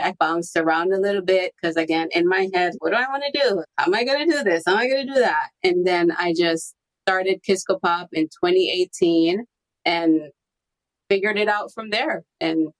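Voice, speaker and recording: A woman's voice: on the low side, low-quality recording. A woman guest on a podcast interview, telling her personal story in a candid, reflective way. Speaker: podcast guest, copywriting strategist.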